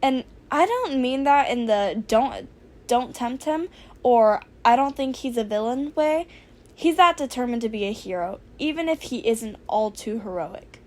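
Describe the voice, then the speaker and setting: A sarcastic teenage boy hacker played by a woman in a fan fiction audio story. The voice is high and casual, with a snarky, deadpan edge and a flair for drama. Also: a little slow. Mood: exasperated, sarcastic.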